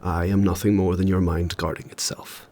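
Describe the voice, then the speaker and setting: An age-old warrior spirit in a fantasy audio drama. The voice is low and rough-edged, with a husky grain. Every phrase is grave, formal and measured. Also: male voice, flat delivery, a little mellow. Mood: grave, instructive. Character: ancient, solemn warrior spirit living in another's mind.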